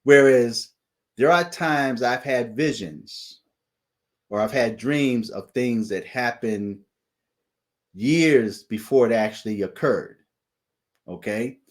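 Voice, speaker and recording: A man, hosting his channel and recounting a dream in a slow preaching monologue, often pausing to ask "okay?". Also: mid-range voice. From United States. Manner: deliberate, repeats "okay?" as a check-in. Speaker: channel host sharing dreams and visions.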